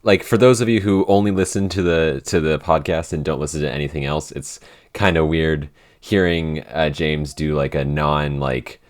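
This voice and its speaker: A man with an extremely low voice hosts a casual podcast question-and-answer session. He talks hesitantly, stopping and restarting his sentences, with plenty of fillers along the way. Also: steady tone, moderate pace. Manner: hesitant, many restarts and fillers, laughs easily. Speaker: podcast head writer and editor, co-host.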